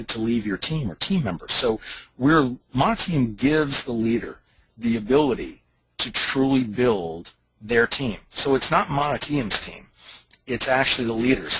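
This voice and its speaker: Husky man giving an emphatic pitch. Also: no echo, low-quality recording. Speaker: company leader pitching network marketing. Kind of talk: persuasive sales pitch on a conference call.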